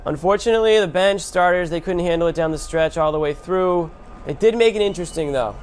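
Guy hosting a hoops recap show, animated storyteller, punchy phrases, bit of noise behind him. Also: high-pitched, quick pace. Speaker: sports show host. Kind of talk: casual basketball recap commentary.

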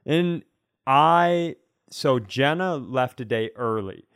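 A man's voice: mid-range voice, animated, slow, fairly bright tone, smooth, dry recording, studio quality. A man in casual podcast chat, telling personal stories in a frank, conversational, comic way. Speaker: podcast co-host and comedian.

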